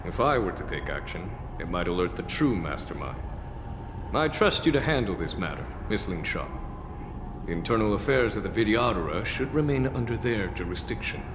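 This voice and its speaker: An actor playing a shrewd, calm, reassuring commander in a video game, speaking in a measured, formal way. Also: male voice, fairly low pitch. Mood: reassuring authority. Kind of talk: video game character acting. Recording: long hall reverb, clear ambient noise.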